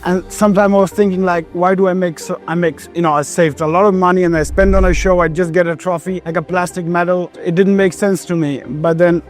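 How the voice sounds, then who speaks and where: A man from Afghanistan speaks in a fairly high voice with music playing behind him. He answers interview questions as a professional athlete in a conversational, motivational way, often tagging sentences with "you know". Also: steady tone, fairly quick, few pauses. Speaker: professional bodybuilder being interviewed.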